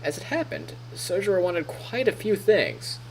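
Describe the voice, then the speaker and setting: A man's voice: high-pitched, sing-song, moderate pace, gravelly, slight background noise, rough recording. A man streaming, reading narration from a visual novel aloud and adding casual comments. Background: game music.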